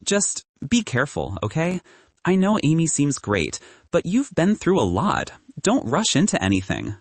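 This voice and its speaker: A man's voice: fairly high pitch, huge pitch swings, normal speed, no echo, pitched above the performer's own voice, full character voice. A man voicing a teasing, protective young male roommate who ribs his friend about a new crush. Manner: teasing, big-brotherly.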